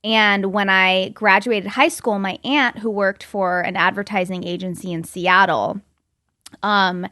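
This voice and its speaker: A woman's voice: medium pitch, steady tone, unhurried, bright, forceful tone, no echo. Chatty woman with a dry, close sound. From Belgium. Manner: informal and chatty, frequent "like". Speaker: guest, model and entrepreneur. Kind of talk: casual interview storytelling.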